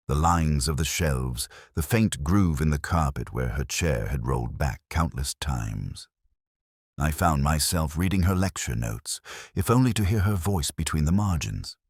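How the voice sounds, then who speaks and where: Very deep husky male narrator. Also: dry recording, clean studio sound. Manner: measured, brooding, literary delivery. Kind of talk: scripted first-person detective narration.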